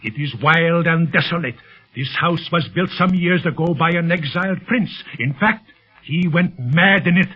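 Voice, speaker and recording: An actor playing a grave, cautious Tibetan chief with formal wording and a husky voice in a 1930s radio drama. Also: male voice, on the high side, moderate pace. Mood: ominous. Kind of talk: 1930s radio serial acting. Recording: muffled, lo-fi 1930s radio recording.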